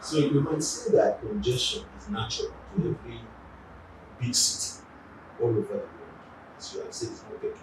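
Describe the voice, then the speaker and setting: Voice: mid-range voice, huge pitch swings, fairly quick, distant mic, background noise. A TV interviewer asking long, winding questions padded with fillers. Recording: echoey room, voice off-mic.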